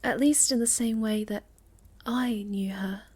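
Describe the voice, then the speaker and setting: A woman playing a sweet, shy, gentle moth-girl monster in a soft, halting ASMR roleplay, often slipping toward a whisper. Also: mid-range voice, steady tone, husky. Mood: hesitant, wondering.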